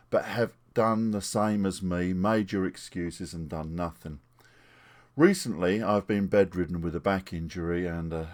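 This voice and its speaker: A man's voice: low-pitched, darkish tone. A retired pastor in his sixties from England, telling his life story to camera in an earnest, plainspoken way. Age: 60s. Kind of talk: personal testimony to camera. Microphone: desktop microphone.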